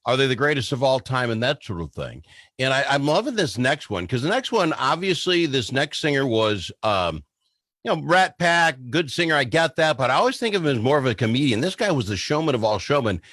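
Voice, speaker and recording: A man's voice: mid-range voice, big pitch swings, a little fast, a bit bright, no echo, studio quality. A man hosting a radio talk show in a casual, bantering style.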